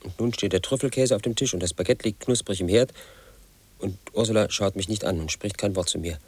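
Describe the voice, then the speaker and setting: An actor in his thirties playing an obsessive, aggrieved man who tells his story in a radio play monologue, in a flat, insistent, quietly resentful way. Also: on the low side, a little fast, breathy edge, dry recording. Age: 30s. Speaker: narrator of his own story.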